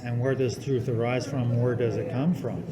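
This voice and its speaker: A man hosting a conversational interview in a deliberate, reflective way. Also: medium pitch, fairly even intonation, a crowd in the background. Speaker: podcast host.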